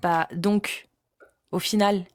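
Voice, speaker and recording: A woman's voice: mid-range voice, steady tone, slow pace. A woman hosting a live stream debate, pressing her guest with pointed questions. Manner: probing, insistent questioning. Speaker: host.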